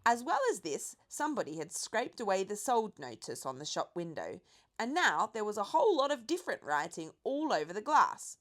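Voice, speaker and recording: Expressive woman reading a children's story. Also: medium pitch, normal speed. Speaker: audiobook reader.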